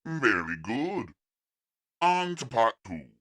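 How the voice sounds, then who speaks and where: Alien machine captor , acted by a man: cold and commanding, slow, bright forceful edge, lofty villain for an audio comic. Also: medium pitch, sing-song, voice pushed up, heavily acted. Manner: measured, pompous, commanding.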